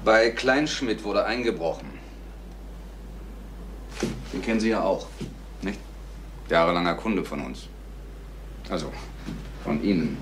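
A man's voice: low-pitched, a little slow, pauses often. Echoey, probing businessman. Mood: suspicious, probing. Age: adult, younger than the salesman. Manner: clipped, casual, probing. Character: polite but probing, suspicious younger businessman. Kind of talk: radio crime drama dialogue. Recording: echoey room with faint noise.

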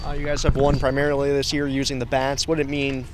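A man interviewing a coach after a game outdoors, asking quick, prepared questions. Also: mid-range voice, completely flat delivery, loud music behind the voice. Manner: quick, rehearsed questions with "uh" openers. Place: outdoors beside a softball field.

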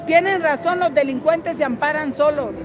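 A woman's voice: high voice, normal speed. An older woman from Guatemala speaking as a political activist in an impassioned, combative and salty-tongued street interview. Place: busy city street outdoors. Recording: outdoor street crowd noise, music behind.

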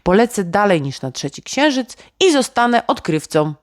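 A woman voicing a dreamy, excited little boy inventor in a children's bedtime story, musing aloud eagerly. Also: medium pitch, moderate pace, dry recording, clean studio sound, voice pushed up, natural voice. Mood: proudly excited.

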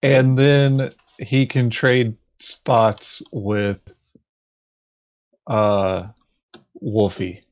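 Very slow-talking man, dull lo-fi recording. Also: medium pitch, flat delivery. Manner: halting, thinking aloud. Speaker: board game player. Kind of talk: casual tabletop game chat.

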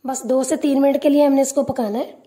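Woman cooking-channel host, high pitch, warm mellow tone, calm step-by-step tutorial voice-over. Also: fairly quick.

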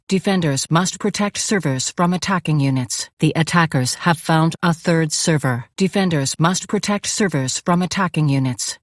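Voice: medium pitch, dry recording, studio quality, close to an everyday voice. A performer voicing an impassive synthetic AI announcer for a video game, giving terse, formal status alerts in a detached, robotic way. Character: impassive synthetic AI announcer of a futuristic combat facility.

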